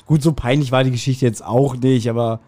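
A man in his forties talking casually and nostalgically as a podcast co-host, telling stories in an animated, self-deprecating way. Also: mid-range voice, a little fast. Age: 40s.

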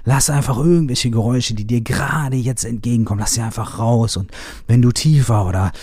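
A man leading a guided shaking meditation, giving repetitive instructions in a soft, partly whispered voice, with some casual swearing. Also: medium pitch, fairly quick, slightly rough, no echo, studio quality. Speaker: movement exercise guide.

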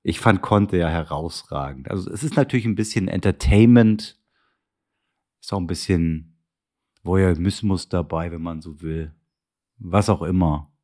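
A man's voice: deep voice, measured pace, a little mellow. A man hosting a podcast, casual and opinionated in conversation. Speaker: podcast host.

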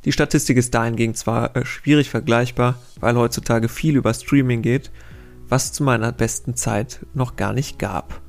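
A man's voice: medium pitch, gravelly. A man hosting a casual quiz podcast, reading out riddles and wandering into chatty, self-correcting asides.

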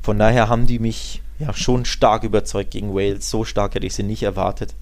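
A man's voice: lowish voice, fairly even intonation, fairly quick, a little mellow. A man co-hosting a football podcast, chatting casually in an opinionated, emphatic and colloquial way, building his points through repetition.

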